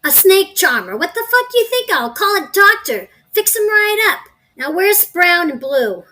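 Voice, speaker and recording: A woman's voice: very high-pitched, very animated, moderate pace, sharp tone, exaggerated voice. A woman playing a brash, foul-mouthed crime gang boss in an audio drama, impatient and sarcastic. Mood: exasperated.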